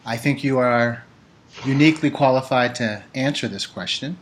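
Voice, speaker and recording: Brief: male host, mid-range voice, lively reactions to guest. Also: mid-range voice, moderate pace, slight background noise. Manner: enthusiastic, expressive reactions. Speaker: interview host. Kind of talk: interview hosting.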